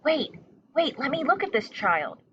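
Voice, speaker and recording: A tender, reassuring mother bat from a storybook, acted out by a woman, with a raised pitch. The recording is a bit dull, with faint noise. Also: sing-song, measured pace, distant mic. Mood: urgent.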